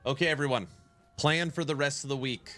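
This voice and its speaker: A man's voice: mid-range voice, a little fast, soft background music. A man streaming a game, chatting casually with his viewers and answering their questions while nasal from a cold. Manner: relaxed, answers chat questions aloud. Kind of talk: casual live-stream chat.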